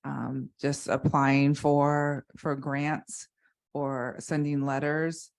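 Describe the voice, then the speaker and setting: A woman on a conference panel speaking about how her foundation's board raises funds, in a halting way with many restarts. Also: rumbling low, level tone, gravelly. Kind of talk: unscripted panel discussion.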